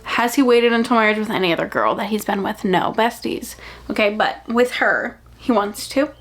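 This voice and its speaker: A young adult woman chatting casually about books, with an animated, gushing delivery and dramatic emphasis. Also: mid-range voice, moderate pace. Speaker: book reviewer and vlogger. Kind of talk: casual chatty book recommendation vlog.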